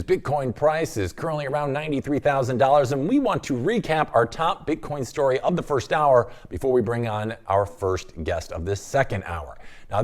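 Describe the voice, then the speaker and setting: A middle-aged man hosts a news show and reads his stories in a mid-pitched voice. His intonation swings widely, and he greets his guest with an upbeat, lively manner. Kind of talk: scripted news read and interview.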